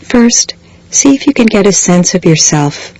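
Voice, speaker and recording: A woman guiding a body scan meditation in a slow, measured way, leaving long pauses between phrases. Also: medium pitch, expressive, a little mellow, no room sound, low-quality recording. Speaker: meditation guide. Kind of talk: guided body scan meditation, scripted soothing instruction.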